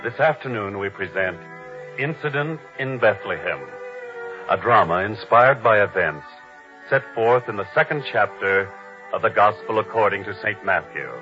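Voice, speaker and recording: Deep, solemn old-time radio narrator, male. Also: animated. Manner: measured, dramatic. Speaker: announcer and narrator. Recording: dull vintage radio recording with music.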